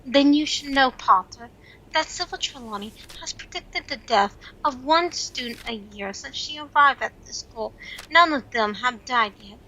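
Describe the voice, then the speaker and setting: A woman portrays a stern, wry older teacher of magic in an audio play. The voice is fairly high, bright and sharp, brisk and dry in delivery, over faint background noise. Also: lively intonation, full character voice, low-quality recording.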